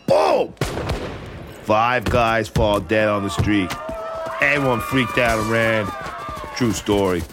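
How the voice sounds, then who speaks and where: An actor playing a brash young man from Boston with a Boston accent, telling a wild story excitedly. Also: mid-range voice, natural voice.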